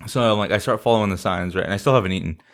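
A man's voice: fairly low pitch, very fast. A man chats casually as a podcast co-host. He tells his story in loose, slangy, swear-filled language, acting out what people said as he goes. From San Diego, California, United States. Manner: profane, slangy, animated storytelling with acted-out quotes. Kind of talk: casual chat, anecdote telling.

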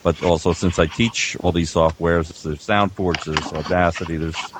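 An older man chatting as a veteran audio producer, explaining things in a halting, rambling way. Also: low voice, steady tone, background noise. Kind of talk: casual panel discussion of audio production.

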